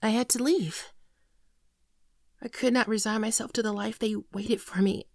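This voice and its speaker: Woman acting soft, creaky-voiced sorrowful alien fugitive. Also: fairly high pitch, steady tone, dry recording, clean studio sound, lightly acted. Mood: pleading, remorseful. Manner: earnest, halting, confessional. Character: gentle female shapeshifter alien, wounded fugitive, sorrowful. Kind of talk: ASMR roleplay monologue, scripted.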